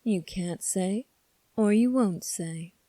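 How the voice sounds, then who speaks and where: A woman playing a calm, sly young prince in an ASMR roleplay, speaking in a measured, formal, teasing way. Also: mid-range voice, no echo. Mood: coolly probing. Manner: measured, formal, coolly probing. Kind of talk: ASMR roleplay audio drama.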